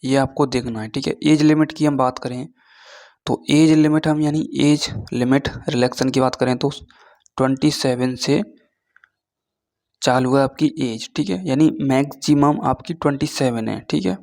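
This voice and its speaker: A man giving an informal explainer lesson as an online teacher, reading through points haltingly and often checking in with short tag words. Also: medium pitch, steady tone, darkish tone. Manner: switches between Hindi and halting read-out English.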